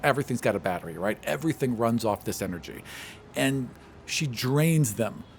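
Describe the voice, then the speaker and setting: A man's voice: mid-range voice, expressive, normal speed, a little hiss or hum. A man working as game master, narrating a tabletop game in a casual, improvised way.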